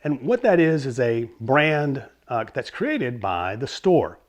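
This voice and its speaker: A middle-aged man with a hoarse voice from a cold, hosting a casual explainer about vintage stereo gear and rambling a bit with fillers and self-corrections. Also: mid-range voice, very animated, takes it slowly. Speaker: host of a vintage stereo channel.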